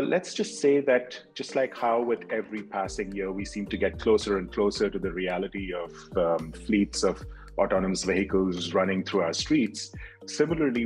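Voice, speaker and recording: Low-pitched man giving a keynote. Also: darkish tone, poor audio. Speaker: industry analyst, keynote speaker. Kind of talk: conference keynote presentation. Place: home room, indoors.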